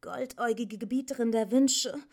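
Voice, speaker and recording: A woman's voice: high voice, lively intonation, a little slow, voice pushed up. A woman voicing an earnest, impulsive young boy hero in an audiobook, urgent and intense. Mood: bewildered. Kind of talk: audiobook character voice.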